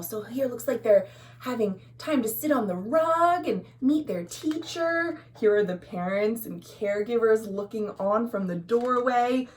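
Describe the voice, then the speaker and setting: An American woman hosting a storytime, reading a picture book to young children expressively with sing-song phrasing and explanatory asides. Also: a little high. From USA. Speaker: children's librarian and storytime host. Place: at home.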